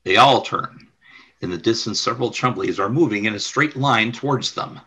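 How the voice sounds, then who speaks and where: A man's voice: fairly low pitch, normal speed, pushed and bright, slightly rough. A man reading scripted narration for a radio play, describing scenes and action.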